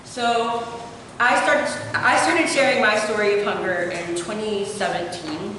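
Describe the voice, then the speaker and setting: A woman's voice: medium pitch, measured pace, bright, forceful tone, far from the mic. A young woman with an Appalachian accent giving an informal academic presentation in a lecture room, with plenty of filler hesitations. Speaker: doctoral student presenting research. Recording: echoey hall taken from afar with noise.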